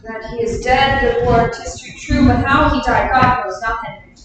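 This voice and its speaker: A king hands down a harsh sentence, read by a woman in a staged reading. The voice is somewhat high and firm. It sounds distant with a long hall echo and faint hiss. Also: steady tone, normal speed, natural voice. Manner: commanding, declamatory. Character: stern male king passing judgment. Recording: echoey hall, distant mic.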